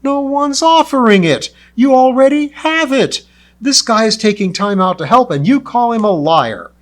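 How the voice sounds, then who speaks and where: A blunt, fiercely impatient lion-man, as a male reader voices him. He barks his words well above the reader's usual pitch, loud and exasperated, as if at the end of his patience. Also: animated, normal speed. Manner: barking and exasperated.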